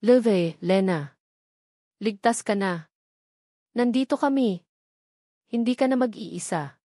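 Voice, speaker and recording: A woman's voice: medium pitch, slow pace, dark tone, dry recording, clean studio sound. A woman voicing a caring, protective woman who comforts a child, soothing and concerned.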